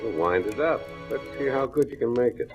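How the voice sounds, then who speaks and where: An actor playing a skeptical, bullying police captain in a 1940s radio crime drama, sardonic and gruffly commanding. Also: male voice, mid-range voice, lively intonation, a little fast, close to an everyday voice. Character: hard-boiled male police captain, skeptical, bullying interrogator. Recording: dull old radio recording with music.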